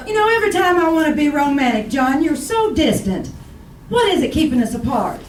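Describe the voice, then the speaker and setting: An actress playing a romantic but nagging, easily wounded wife in old-time radio comedy, chattering and exasperated. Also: female voice, high voice, big pitch swings, a little fast, recorded from a distance, a faint crowd. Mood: hurt. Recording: roomy, noisy old radio, off mic.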